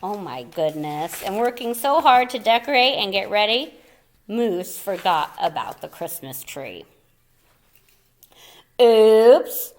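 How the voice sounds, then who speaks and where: A woman reading a children's picture book aloud in a theatrical, playful way, with dramatic pauses and little asides for young listeners. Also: mid-range voice, big pitch swings. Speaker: storyteller.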